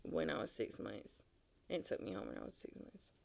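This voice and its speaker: A young woman guest telling her life story in a relaxed, casual way, swearing now and then. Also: rumbling low, normal speed, vocal fry. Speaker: podcast guest. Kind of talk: casual chat interview.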